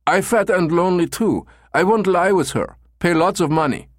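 A man's voice: high-pitched, normal speed, no room sound, studio quality, voice pushed up, natural voice. A man voicing a bumbling, over-eager German tourist in an audiobook story, blurting broken English with a German accent. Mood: pleading, boastful.

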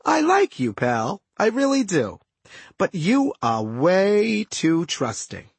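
A man's voice: sits high, huge pitch swings, takes it slowly, vocal fry, husky, no room sound, heavily acted. A man voicing a smug villain magician in an audiobook, glib, chatty and condescending with mock friendliness. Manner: glib, condescending, casual wise-guy patter. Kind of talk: audiobook character dialogue.

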